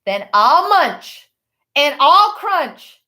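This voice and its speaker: Woman acting out a loud, menacing storybook shark. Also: high voice, sing-song, extremely slow, voice pushed up, exaggerated voice. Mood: threatening. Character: big bad shark, male, menacing hungry bully. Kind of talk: children's storybook read-aloud.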